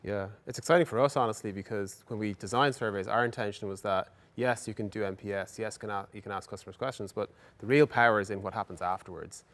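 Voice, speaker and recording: A man with a fairly deep voice talks briskly as an interviewer for a software company. His delivery is casual and encouraging, affirming the guest in a business conversation.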